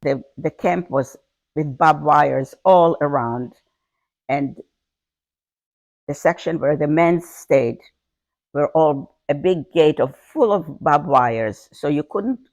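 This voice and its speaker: An older woman from Hungary, giving a halting personal account with frequent repeated words. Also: sits low, a little mellow, no room sound. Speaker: interviewee. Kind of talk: personal testimony.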